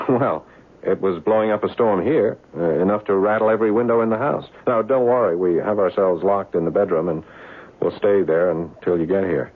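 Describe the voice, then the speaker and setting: A worried, level-headed husband in an old radio play, reassuring his wife in a deep voice. He stammers a little as he reasons things out, and the muffled broadcast sound dulls him. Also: a little fast. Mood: tense, explaining. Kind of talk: 1970s radio drama acting.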